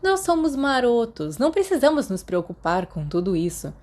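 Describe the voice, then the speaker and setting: Woman voicing an excitable, brash teenage schoolboy, pitched up. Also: normal speed. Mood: excited, impatient. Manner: eager, insistent. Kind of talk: audiobook character dialogue.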